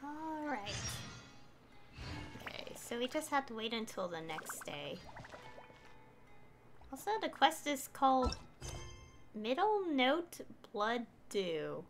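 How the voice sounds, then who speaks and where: A woman's voice: a little high, big pitch swings, slow, pauses often. A woman streaming a video game, giving casual commentary as she plays. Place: stream voice-over with game audio. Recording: game music behind.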